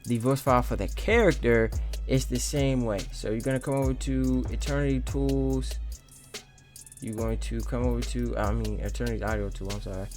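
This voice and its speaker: Man giving a casual tutorial over music. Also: mid-range voice. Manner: informal, explanatory, thinking aloud. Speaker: gaming tutorial host. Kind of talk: casual step-by-step screen tutorial.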